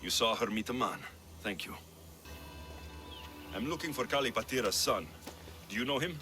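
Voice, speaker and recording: An actor playing a young, skeptical Greek mercenary hero in a video game, wry and matter-of-fact. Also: male voice, medium pitch, lively intonation, moderate pace, over music, close to an everyday voice. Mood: businesslike. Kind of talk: video game dialogue acting.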